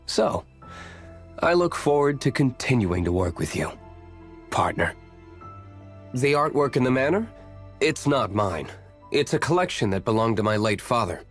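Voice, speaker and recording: An actor playing an aloof, brooding young nobleman warrior in a video game, reserved and formal, speaking in measured, curt lines. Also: medium pitch, very animated, faint music behind.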